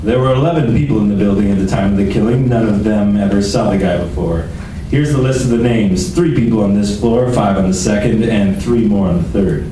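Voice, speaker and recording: A man playing a gruff, impatient police lieutenant in an old-time radio drama, blunt and matter-of-fact. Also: on the low side, a little fast, mellow, close to an everyday voice. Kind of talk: 1940s radio detective drama acting. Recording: very echoey room, distant mic, faint noise.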